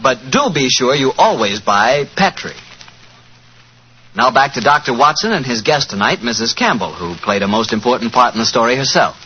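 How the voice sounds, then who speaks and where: A man announcing and hosting in polished 1940s radio style, pitching to the listener. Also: sing-song, moderate pace, sharp tone. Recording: noisy, muffled 1940s radio recording.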